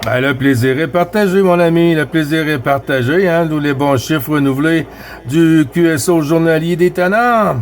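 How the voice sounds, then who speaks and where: A man with a Québécois accent chatting casually over CB radio, relaxed and friendly, repeating phrases with fillers. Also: a little high, normal speed. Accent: Québécois French. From Quebec. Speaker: CB radio operator.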